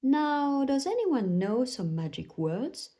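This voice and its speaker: A woman reading a children's storybook, voicing a kindly, encouraging female gazelle who teaches playgroup with clear, teacherly instructions. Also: high-pitched, sing-song, slow, mellow, voice pushed up, exaggerated voice. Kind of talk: children's storybook read-aloud.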